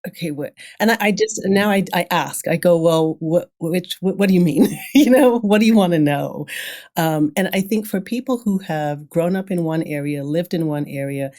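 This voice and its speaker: A woman's voice: lowish voice, moderate pace. A woman speaking candidly and casually as a podcast guest, telling stories and acting out what people say. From grew up in London, then Brooklyn. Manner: candid, animated storytelling, acts out quoted remarks, often chuckles. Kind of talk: casual interview conversation.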